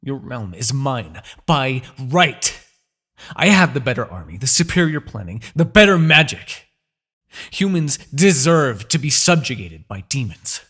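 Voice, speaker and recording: A man playing a proud, vengeful demon king in a dramatic fantasy audio roleplay, with a husky, gravelly voice and a theatrical, gloating manner. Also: mid-range voice, very animated, measured pace, heavily acted. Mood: indignant, furious. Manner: theatrical, gloating, with sighs and dark chuckles.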